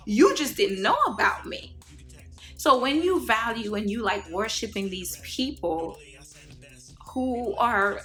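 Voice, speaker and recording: Haitian-born woman preaching to her viewers, bright tone, lots of ums, faint music behind. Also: high voice. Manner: earnest, preachy, many fillers and restarts. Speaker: vlogger. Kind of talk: casual monologue to camera.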